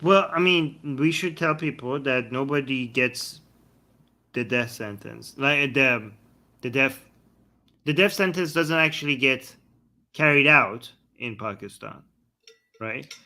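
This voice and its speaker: A man hosting a casual news discussion, talking in a conversational, halting way with frequent restarts. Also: mid-range voice, bright, forceful tone. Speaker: show host.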